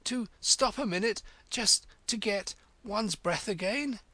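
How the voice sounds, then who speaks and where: A man's voice: a little high, very animated, unhurried, creaky, fairly bright tone, husky, no room sound, pitched above the performer's own voice, exaggerated voice. A man voicing a polite, curious young girl in a storybook, with a questioning manner. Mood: breathless.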